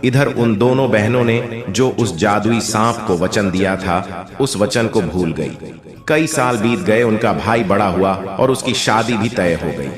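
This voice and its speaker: A man's voice: on the low side, unhurried, roomy sound, a crowd in the background. A man narrating a scripted moral folk tale as a storyteller.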